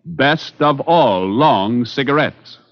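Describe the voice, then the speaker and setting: A man's voice: medium pitch, expressive, slow pace. An American man announcing a 1950s radio programme with stately, emphatic scripted delivery. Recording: muffled old radio recording with music.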